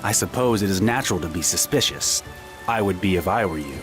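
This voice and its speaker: An actor playing a polite, self-assured military strategist who talks with hesitant fillers and wry courtesy. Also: male voice, deep voice, animated, fairly quick. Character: eccentric polite male strategist, confident. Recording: game audio with loud music.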